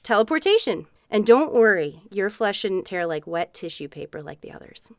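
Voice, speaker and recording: A woman's voice: mid-range voice, very animated, moderate pace, noisy background. A woman playing a darkly cheerful corporate guide at a test facility in a comic radio play, politely delivering grim news. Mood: mock cheerful. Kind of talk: comic radio theatre monologue.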